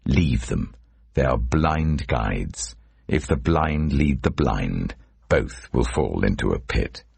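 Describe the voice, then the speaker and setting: Guy doing a solemn commanding holy teacher, super low gravelly voice, deliberate, audio bible style. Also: animated, darkish tone, a little hiss or hum, exaggerated voice. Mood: grave teaching. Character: solemn, authoritative male religious teacher in scripture.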